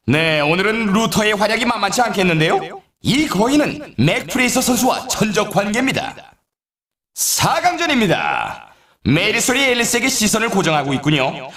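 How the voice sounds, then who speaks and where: A man playing an excitable, showy snowboard race announcer in a video game, delivering scripted sports commentary in a dramatic style. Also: sits high, exaggerated voice. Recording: roomy echo, slightly distant mic.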